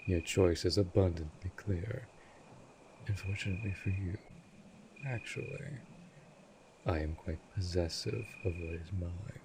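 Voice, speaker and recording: Man voicing a deep, imperious vampire master. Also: creaky, background noise. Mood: cold, commanding. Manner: formal, commanding, measured. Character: cold, possessive, imperious male vampire master. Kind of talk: scripted roleplay audio drama.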